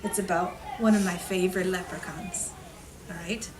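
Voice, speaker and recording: A woman's voice: on the low side, fairly even intonation, moderate pace. Distant-miked woman storyteller in noisy room. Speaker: elementary school read-aloud storyteller. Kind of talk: storytelling to young children. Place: school room with children.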